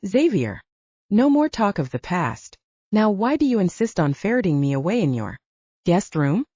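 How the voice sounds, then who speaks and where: A woman's voice: medium pitch, big pitch swings, normal speed, warm tone, husky. Woman voicing an arrogant, seductive male wolf shifter. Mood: earnest, protective. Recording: dull lo-fi, roomy sound.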